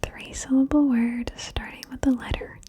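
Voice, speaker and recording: A woman whispering slowly and softly, coaxing and encouraging the listener through a word-game ASMR session. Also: sits high, level tone. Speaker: ASMR host guiding the listener.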